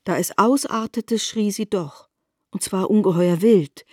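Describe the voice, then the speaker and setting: A woman narrating a literary radio drama as a knowing storyteller, in a measured, articulate manner. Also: medium pitch, warm tone, breathy edge, no echo, clean studio sound. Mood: grave.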